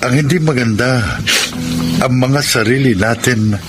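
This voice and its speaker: A man with a Filipino accent acting in a radio drama as a weary, grieving, gentle middle-aged former doctor, speaking slowly and earnestly. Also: mid-range voice, over loud music, natural voice. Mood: reflective, consoling.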